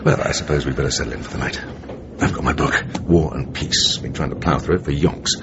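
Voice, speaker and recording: Deep, creaky, smug gentleman assassin. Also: a little fast. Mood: affably chummy. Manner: mock-genteel slang, taunting, clipped. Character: smug male Soviet assassin posing as chummy English gentleman. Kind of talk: radio drama acting. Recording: noisy, muffled radio broadcast recording.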